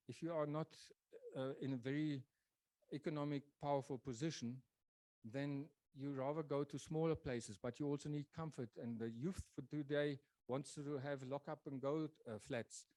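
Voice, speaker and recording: A man presents a public lecture in a mellow, warm voice, thinking aloud as he goes and often pausing or restarting. The sound is dry and close, with little room around it. His pitch sits in an ordinary middle range. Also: dry recording. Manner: thoughtful, pausing with many fillers and restarts. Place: lecture venue.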